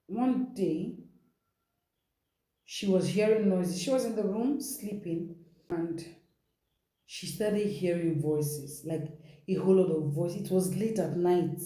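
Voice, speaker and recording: Nigerian lady telling a dramatic story, really mellow dark voice, emphatic, echoey room. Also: medium pitch. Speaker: storytelling host. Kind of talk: dramatic storytelling, retelling someone's account.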